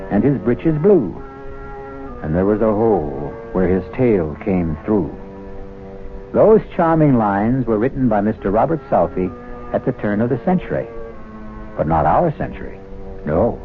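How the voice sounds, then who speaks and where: A man hosting a radio mystery drama, giving wry, theatrical scripted narration. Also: fairly low pitch. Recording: tinny old radio broadcast with faint music.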